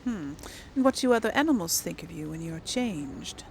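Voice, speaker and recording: A woman's voice: fairly low pitch, animated, moderate pace, gravelly, slight background noise. A woman doing casual let's play commentary, thinking aloud in an informal, hesitant way. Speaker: gamer, let's play host.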